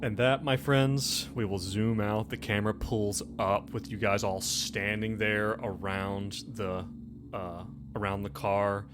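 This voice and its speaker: A man's voice: on the low side, fairly even intonation, unhurried, noisy background. A man hosting a tabletop horror role-playing show as game master, narrating scenes and chatting casually. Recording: music playing behind the voice. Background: music.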